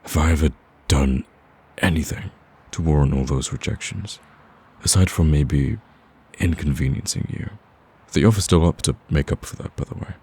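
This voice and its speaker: A man playing a smitten, cocky villain in a romantic ASMR roleplay, with a husky, very deep voice and a smooth-talking, teasing, pleading manner. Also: normal speed, a little mellow, full character voice. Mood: hurt pleading. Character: smitten male villain, cocky, persistent, wooing.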